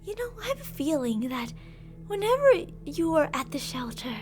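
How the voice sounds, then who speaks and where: A woman's voice: extremely high pitch, sing-song, moderate pace, faint music behind. A woman playing an obsessive, possessive yandere captor in an ASMR roleplay, intimate and doting with endearments yet menacing. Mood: tender, wistful. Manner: intimate, cooing endearments, drops to whispers. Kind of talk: ASMR roleplay, scripted monologue.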